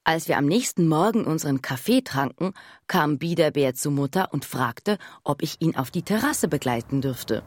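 A woman's voice: low-pitched, animated, normal speed, dry recording. A woman acting as the narrator of a radio play, telling a mystery from her childhood. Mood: reflective.

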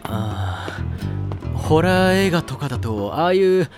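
A man playing a frank, slightly squeamish high school senior in an anime audio drama, talking in blunt, casual boyish slang. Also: big pitch swings, slow, gravelly, loud music behind the voice, exaggerated voice. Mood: blunt, uneasy.

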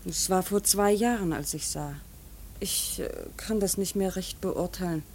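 Serious, dutiful daughter. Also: female voice, lowish voice, a little mellow, a little hiss or hum. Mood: guarded. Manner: sober, measured, admonishing. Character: serious, dutiful painter's daughter, earnest and reserved. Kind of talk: classic German radio play acting.

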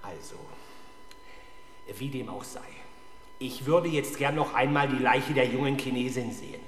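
A middle-aged actor playing a stubborn, embittered police inspector in a 1970s German radio crime play, gruff and sardonic. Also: male voice, mid-range voice, a little slow, fairly bright tone, slight background noise, lightly acted. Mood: controlled impatience.

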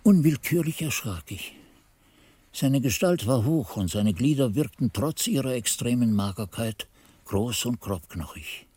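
Expressive, mellow monk narrator, husky edge. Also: male voice, medium pitch, unhurried, dry recording. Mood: awed, uneasy. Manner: literary, earnest, expressive. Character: monk narrator, devoted pupil recalling his master. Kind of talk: scripted radio drama narration.

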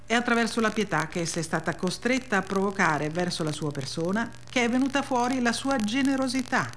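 A woman giving an expressive, dramatic literary reading with measured, emphatic phrasing and deliberate pauses. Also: lowish voice, a little hiss or hum. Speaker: reader on a radio theatre programme.

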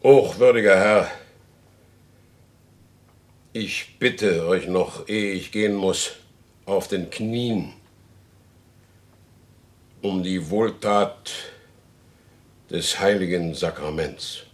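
An actor in a German radio play, playing a proud, stubborn horse dealer who demands justice in solemn, formal speech. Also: male voice, low-pitched, very slow, long pauses. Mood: solemn pleading.

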